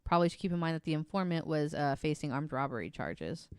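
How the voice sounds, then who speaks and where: Woman podcast host, lowish clear voice, close dry sound, chatty and a bit wry. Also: lively intonation, fast, a little mellow, no echo. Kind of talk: casual banter and reading case notes.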